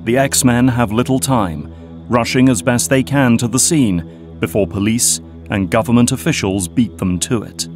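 A man narrating an audio drama in a steady, scripted storytelling manner. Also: mid-range voice, a little slow, soft background music.

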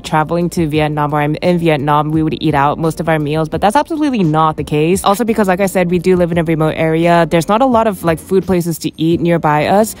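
A woman's voice: lowish voice, darkish tone. A woman in her twenties narrating a casual travel vlog in a chatty, upbeat storytelling way. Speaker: vlogger.

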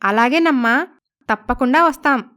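A young daughter-in-law in a cartoon family tale, played by a woman. The voice is high, smooth and clear. She speaks respectfully and coaxingly, with a quietly crafty, persuasive way of putting things. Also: normal speed. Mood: polite. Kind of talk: Telugu animated moral story voice acting.

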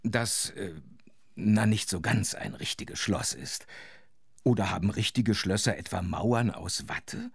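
A man's voice: on the low side, takes it slowly, gravelly, breathy edge, no echo, clean studio sound, full character voice. A man playing a chatty, wondering storyteller who narrates a children's audio drama and comments as he goes. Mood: amazed.

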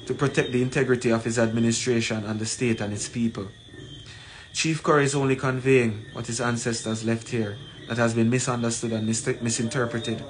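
A man in his forties with a Jamaican accent gives an emphatic, deliberate address to camera, repeating phrases for weight. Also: mid-range voice. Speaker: community leader. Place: small plain room indoors. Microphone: phone at arm's length. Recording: noisy room, ambient background sound.

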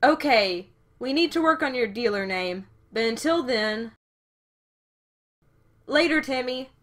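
Actress as a high-voiced, frank young woman. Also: animated, unhurried, a bit bright. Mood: casual. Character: frank, protective young woman in a dealer crew. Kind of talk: audio drama acting.

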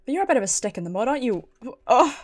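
A woman's voice: on the high side, big pitch swings, very fast. A British woman giving casual, playful live commentary as she plays a game, talking to herself and reading dialogue aloud. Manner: talks to herself, playful, giggly, mildly sweary. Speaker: game streamer. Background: game music.

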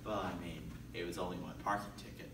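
A teenage boy firing off deadpan one-liners in a school improv game. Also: low voice, fast talker. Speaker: improv player. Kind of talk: improv quick answers. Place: school classroom. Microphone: far camera. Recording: echoey classroom from far off, noisy.

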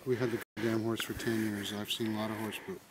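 A man's voice: lowish voice, flat delivery, quick pace, rough recording. A man chatting casually on a hike, explaining things in a matter-of-fact way, picked up by a camera a few steps away in an open field. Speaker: hiking companion. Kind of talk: casual conversation. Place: open grassy field outdoors.

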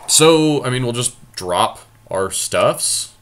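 A man's voice: medium pitch, animated, slow, a bit bright. A man giving casual gameplay commentary, thinking aloud in informal slang as he co-hosts. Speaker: podcast co-host playing a card game.

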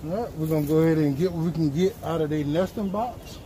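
A middle-aged man chats easily as he rakes out a chicken coop outdoors, his voice sitting a little high. The camera audio is noisy, with birds and yard sounds behind him. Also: fast. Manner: relaxed, talks while raking out a coop. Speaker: homesteader vlogger. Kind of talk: casual how-to chat while working.

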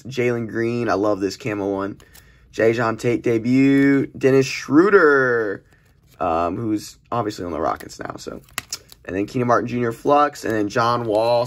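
A young man giving chatty, informal commentary as he hosts an unboxing video in a small room. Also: medium pitch. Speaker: host of a trading card unboxing channel. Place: small indoor room at a desk. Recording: noisy room, crinkling packaging handling sounds. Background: clicks, crumpling and crinkling of packages.

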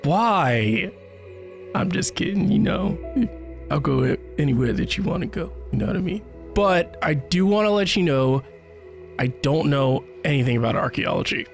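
A man's voice: on the high side, lively intonation, normal speed, gravelly. A man in his thirties giving casual, joking, wry reaction commentary as he livestreams a game. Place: indoor streaming setup. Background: game music.

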